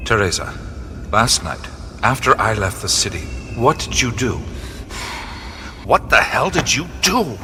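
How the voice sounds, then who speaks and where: An actor playing a wry, uneasy male adventurer in a radio drama, often hushed and wisecracking. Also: mid-range voice, very animated, measured pace, pauses often, background noise. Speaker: lead adventurer.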